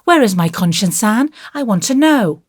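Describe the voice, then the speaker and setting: A woman's voice: medium pitch, very animated, moderate pace, no echo, studio quality, pitched above the performer's own voice. A woman voicing an earnest, naughty but penitent little boy in an audiobook, pleading and blurting in childlike, colloquial speech. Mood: curious, pleading. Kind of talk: audiobook character voice.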